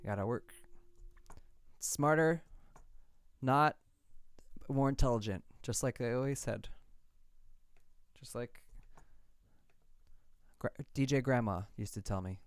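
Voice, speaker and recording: A man thinking out loud in a laid-back, casual way as he demonstrates music production software. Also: mid-range voice, slow pace, pauses often, clean tone. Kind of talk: casual tutorial chat while working.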